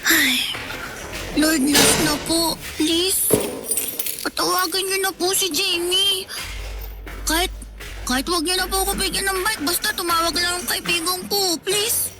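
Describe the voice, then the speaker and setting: A woman's voice: very high voice, fairly even intonation, unhurried, long pauses. A woman voicing an earnest, pleading young girl in a radio drama. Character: young girl waiting by the phone for her friend. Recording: loud music underneath.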